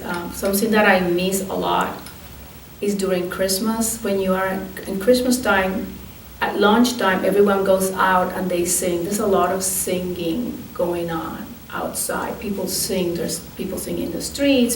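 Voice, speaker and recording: A woman in her fifties from Puerto Rico tells her life story in an oral history interview, with frequent thoughtful pauses. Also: medium pitch. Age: 50s. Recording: echoey room from far off, faint noise.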